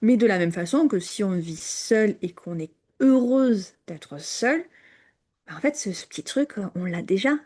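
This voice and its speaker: A woman co-hosting a casual podcast chat, hesitant, with many fillers and restarts and frequent quiet drops. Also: mid-range voice, animated, moderate pace. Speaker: podcast co-host.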